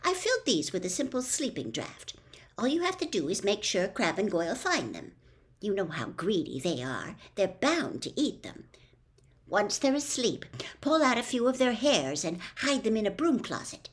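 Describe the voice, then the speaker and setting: A woman voicing a clever, bossy schoolgirl witch from a storybook, brisk and matter-of-fact. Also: medium pitch, sing-song, close to an everyday voice. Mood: brisk, assured.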